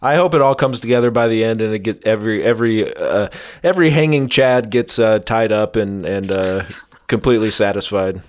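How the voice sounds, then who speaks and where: A man chatting casually and hesitantly as he introduces clips on a comedy show. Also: lowish voice. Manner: halting, hesitant.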